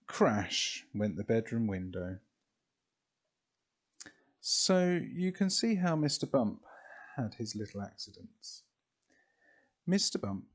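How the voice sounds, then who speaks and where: Animated man reading a children's story, slightly husky. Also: medium pitch. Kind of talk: expressive storybook narration.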